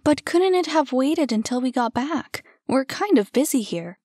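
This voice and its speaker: A woman voicing an anxious, nervy young woman who speaks high and urgently. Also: expressive, moderate pace, a little mellow, no room sound, studio quality.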